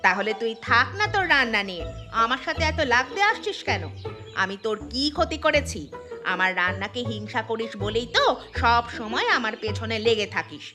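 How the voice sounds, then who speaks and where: A woman's voice: fairly high pitch, expressive, fairly quick, over music, close to an everyday voice. A woman playing a proud, quarrelsome young village housewife in a cartoon story, taunting and emphatic. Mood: haughty, quarrelsome. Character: sharp-tongued, proud young village housewife, a boastful cook. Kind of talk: cartoon moral-story voice acting.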